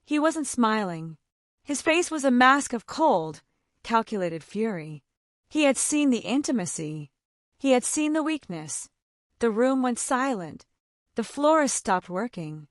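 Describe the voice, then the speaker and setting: A woman narrating a dramatic romance story in a scripted, expressive reading. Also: fairly high pitch, measured pace, no echo, clean studio sound.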